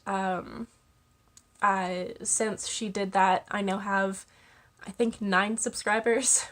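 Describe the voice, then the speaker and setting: A young woman vlogging to camera, congested with a cold and chatting casually with lots of ums and small laughs. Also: mid-range voice. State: congested, stuffy-nosed. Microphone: camera mic.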